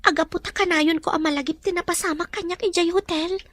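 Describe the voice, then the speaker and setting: A woman's voice: very high-pitched, fairly even intonation, fast, a bit bright, dry recording. A woman acting a troubled, weary character who confides her worries plaintively in a radio drama. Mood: weary, uneasy. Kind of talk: Filipino radio drama dialogue.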